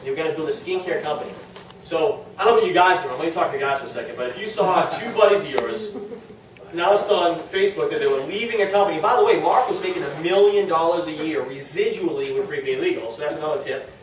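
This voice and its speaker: A man with a New England accent and a slightly high voice talks casually to a team meeting. He is self-deprecating and keeps checking in with his listeners. The room is very echoey and he sounds set back from the microphone. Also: fast. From New England, United States. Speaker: speaker at a direct-sales team meeting. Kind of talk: informal motivational talk to a small group. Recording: very echoey room, distant mic, faint noise.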